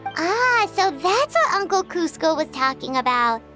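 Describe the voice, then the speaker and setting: Casting: squeaky curious little companion, very high, childlike, game dub. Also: female voice, big pitch swings, unhurried, pushed and bright, faint music behind, exaggerated voice. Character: tiny floating girl companion, chirpy and curious. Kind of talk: video game voice acting.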